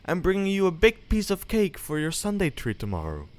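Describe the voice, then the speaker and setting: A man doing a high, lilting little girl. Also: huge pitch swings, fairly quick, no room sound. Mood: innocent. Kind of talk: storybook read-aloud.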